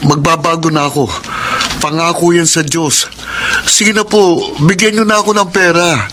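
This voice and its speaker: A man acting as a feckless Filipino gambler son in a radio drama, with a fairly high male voice. He sounds whining and evasive, pleading and defending himself. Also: fairly even intonation, moderate pace, noisy background, natural voice.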